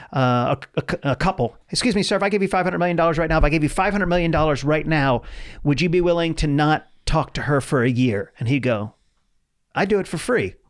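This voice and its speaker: A man in his forties, a comedian guest telling rambling, animated stories in a casual podcast chat. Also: mid-range voice. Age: 40s.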